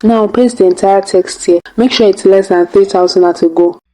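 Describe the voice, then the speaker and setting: A woman's voice: mid-range voice, steady tone, normal speed, mellow, faint music behind, rough recording. A woman hosting a tutorial, narrating step by step in a casual way. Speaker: tutorial host.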